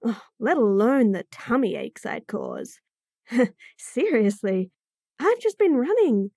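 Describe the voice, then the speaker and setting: A woman's voice: on the high side, huge pitch swings, slow, gravelly, mellow, dry recording, studio quality, heavily acted. A woman voicing a bratty, flustered young bunny girl who stammers and giggles nervously in a roleplay audio.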